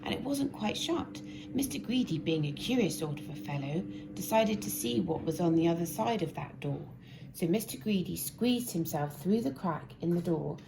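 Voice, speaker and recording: A woman with a fairly low, creaky voice tells a children's story. She stresses words dramatically and deliberately, over clear background noise. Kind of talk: expressive children's story narration. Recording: noisy amateur recording, clear background noise.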